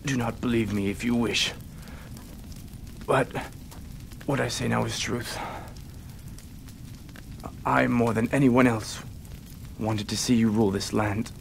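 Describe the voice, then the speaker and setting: An actor playing a sorrowful, weary samurai traitor in a video game, weak and dying, speaking solemnly and haltingly. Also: male voice, medium pitch, steady tone, gravelly, a little hiss or hum. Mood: dying, resigned. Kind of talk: dramatic video game cutscene acting.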